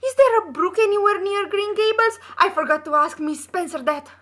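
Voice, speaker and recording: A woman's voice: extremely high pitch, fairly quick, a bit bright, voice pushed up. A woman voicing a talkative, dreamy, dramatic eleven-year-old orphan girl from a storybook, effusive and rambling with stumbles. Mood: happy, dreamy. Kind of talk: audiobook character reading.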